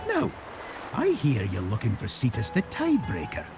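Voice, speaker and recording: An actor playing an eccentric, jovial old seafaring captain in a video game, gravelly and folksy. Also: male voice, mid-range voice, normal speed, music in the background. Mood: folksy, upbeat. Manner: folksy, animated, swooping delivery. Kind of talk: video game character acting.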